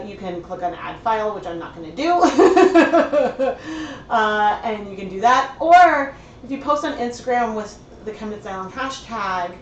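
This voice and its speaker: A woman with a slightly hoarse voice hosts a relaxed livestream tutorial, rambling as she thinks aloud and filling pauses with likes and ums. She sits back from the mic in a roomy, echoey space with a faint hum of ambience underneath. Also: mid-range voice. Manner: chatty, thinks aloud, many fillers. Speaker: livestream host dyeing yarn. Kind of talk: casual livestream tutorial chat. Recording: roomy sound from a distant mic.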